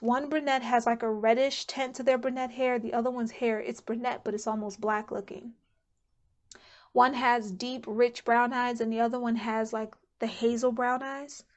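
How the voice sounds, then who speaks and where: A woman giving a casual pick-a-card tarot reading to camera, chatty and candid, thinking aloud as she goes. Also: fairly high pitch. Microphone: camera mic.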